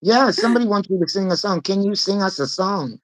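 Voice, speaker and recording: Raspy-voiced woman host. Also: medium pitch, expressive, brisk. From Nova Scotia. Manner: chatty, laughs often. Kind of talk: casual live-stream chat. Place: home room with fake northern lights projector.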